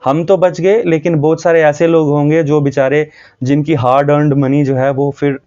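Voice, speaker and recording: A man's voice: on the high side, level tone, moderate pace, mellow, highs cut off. A man from Jammu with a North Indian accent, earnestly explaining and warning viewers in an informal way, repeating himself for emphasis. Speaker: video host.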